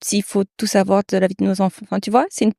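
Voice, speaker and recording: Une femme animatrice de podcast qui pose des questions brèves et douces et acquiesce souvent. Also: female voice, mid-range voice, level tone, fast, vocal fry, dry recording, clean studio sound. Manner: gentle, brief questions and affirmations. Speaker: podcast host. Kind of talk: casual interview conversation.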